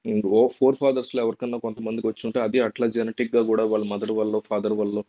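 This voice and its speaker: A man's voice: lowish voice, flat delivery, very fast, warm tone. A man presenting an informal unscripted explainer, repeating and rephrasing points and mixing in English terms. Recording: dull, lo-fi sound.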